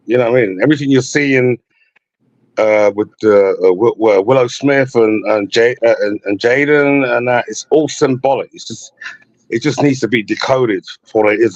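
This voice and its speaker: A man on an online call, his voice a bit muffled and lo-fi but close and dry, with no room around it. He wanders from point to point, often circling back with "you know what I'm saying." His pitch sits in an ordinary middle range. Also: no echo. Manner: loose, repeats "you know what I mean". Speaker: guest on a call-in talk show. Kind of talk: rambling casual conversation.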